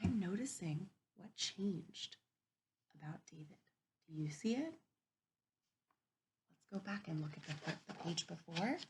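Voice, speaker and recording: A woman teacher reading a picture book aloud to young children, gentle and encouraging, often dropping to a soft whisper. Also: lowish voice, lively intonation, measured pace, long pauses, a little hiss or hum, rough recording. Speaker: kindergarten teacher. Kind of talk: read-aloud storybook lesson for young children.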